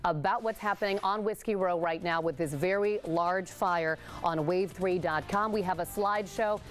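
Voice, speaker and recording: A woman's voice: on the low side, normal speed, soft background music. A woman in her thirties or forties anchoring a TV newscast from a studio with scripted delivery. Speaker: TV news anchor. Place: TV news studio.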